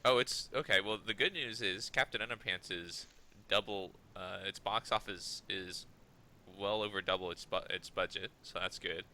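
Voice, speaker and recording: Very bright, sharp-voiced man, lowish pitch. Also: moderate pace. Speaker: podcast co-host. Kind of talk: casual discussion.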